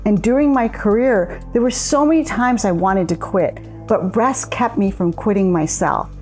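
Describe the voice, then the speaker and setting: A woman co-founder giving a measured, explanatory account in a scripted promotional conversation. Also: mid-range voice, darkish tone, faint music behind. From immigrant. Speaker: co-founder of a coaching method.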